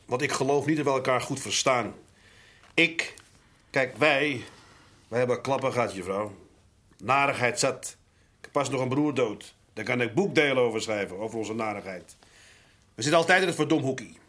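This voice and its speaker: A man acting as a gruff older working-class husband in a radio play. He has a medium-pitched voice and talks bluntly and colloquially, fed up and dismissive of everyone. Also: moderate pace, long pauses, fairly bright tone, close to an everyday voice. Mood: weary, aggrieved. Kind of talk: naturalistic Dutch radio drama acting.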